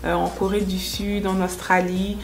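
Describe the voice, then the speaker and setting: A woman's voice: medium pitch, flat delivery, normal speed. A woman in her twenties, born in France and raised in the United States, speaking as an interviewed entrepreneur in an earnest, explanatory way. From born in France, grew up in the United States. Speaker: entrepreneur and makeup artist being interviewed. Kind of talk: first-person interview testimonial. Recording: music under the voice. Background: background music.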